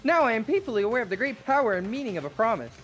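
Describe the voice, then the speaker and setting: A woman's voice: on the high side, big pitch swings, rapid-fire, faint music behind. A woman voicing a smug, mocking, murderous robot teddy bear mascot from a visual novel, mock-cheerful and taunting. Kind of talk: amateur dramatic reading of a visual novel.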